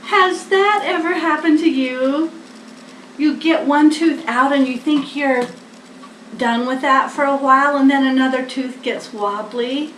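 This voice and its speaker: High-voiced older woman reading slowly to children. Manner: deliberate, expressive, talking to small children. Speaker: storybook reader, host. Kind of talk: children's picture-book read-aloud. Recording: echoey room, distant mic, faint noise.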